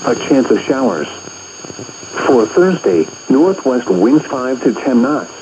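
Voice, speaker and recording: A synthetic man's voice reads a weather bulletin in measured, impersonal phrases. It sits at a medium pitch. The radio sound is dull and lo-fi, with clear background noise. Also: big pitch swings, a little mellow. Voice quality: synthetic text-to-speech. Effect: weather radio broadcast. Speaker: automated weather radio announcer. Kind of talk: scripted weather bulletin reading. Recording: noisy muffled radio picked up by microphone.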